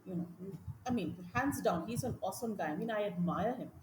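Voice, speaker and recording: A woman with an Indian English accent, a teacher speaking as a podcast guest, talking in an animated, emphatic way and often repeating her points. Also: medium pitch, fairly quick, background noise. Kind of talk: conversational interview. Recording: echoey room with faint ambience.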